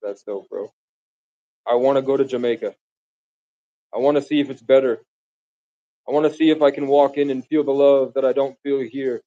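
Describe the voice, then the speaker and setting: A man's voice: medium pitch, fairly even intonation, normal speed, long pauses, dark tone, no room sound, dull audio. A man from the Bronx, raised in Vermont, rambling grandly and casually to his viewers on a live stream. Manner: grandiose, meandering, casual slang. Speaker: livestreamer.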